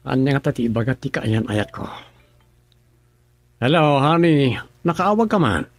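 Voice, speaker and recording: Irritable, husky young husband. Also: male voice, mid-range voice, expressive, unhurried, no room sound. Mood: smooth, furtive. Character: irritable young husband, two-faced and cheating. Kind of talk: radio drama acting.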